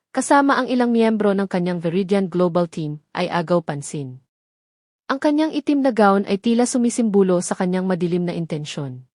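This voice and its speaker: A woman's voice: mid-range voice, darkish tone, no echo. Filipino woman narrating a drama, close dry sound. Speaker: audio story narrator. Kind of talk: scripted dramatic story narration.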